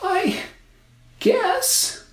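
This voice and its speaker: A woman's voice: very high voice, expressive, drawn-out words, roomy sound, exaggerated voice. A woman voicing a tiny, timid, forlorn little girl with a plaintive, melodramatic manner for a storybook tale. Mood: hesitant.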